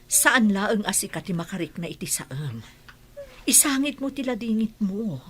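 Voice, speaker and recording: A woman's voice: medium pitch, very animated, normal speed, husky. A woman acting a tender, patient older aunt who consoles, in an Ilocano radio drama. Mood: tender, consoling.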